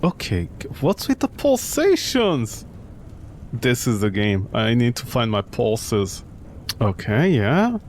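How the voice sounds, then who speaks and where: A man's voice: medium pitch, wildly swooping pitch, slow, darkish tone, slight background noise. A man doing live game commentary, talking to himself in a sarcastic, exclamatory way. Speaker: gaming streamer.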